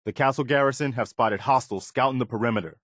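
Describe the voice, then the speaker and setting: A man's voice: mid-range voice, fast, no echo, clean studio sound, close to an everyday voice. A man playing an earnest, loyal militia officer in a video game, speaking sincerely and plainly with a sense of duty. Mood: wary, determined. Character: earnest, steadfast militia officer, loyal adult male companion. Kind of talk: acted video game dialogue.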